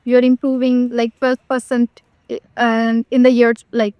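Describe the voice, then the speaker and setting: A woman's voice: fairly high pitch, little pitch movement, unhurried, a little mellow, smooth, no room sound. A woman table tennis player with an Indian accent giving advice, with some fillers.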